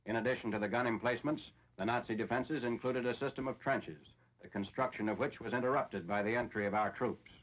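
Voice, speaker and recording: A dull, lo-fi 1940s soundtrack carries an American man narrating a military newsreel. His voice sits at a middling pitch, and he reads the script formally, as plain matter-of-fact reporting. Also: fast, fairly bright tone.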